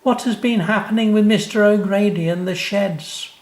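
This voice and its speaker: A man voicing an eager, excitable young boy in a children's story. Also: high-pitched, moderate pace, reverberant, voice pushed up, lightly acted. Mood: amazed.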